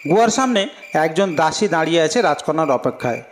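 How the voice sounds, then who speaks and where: A man's voice: on the high side, very animated, a little fast, a little hiss or hum. A man narrating a scripted fairy tale as a storyteller. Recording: long reverb, music behind. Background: clear music.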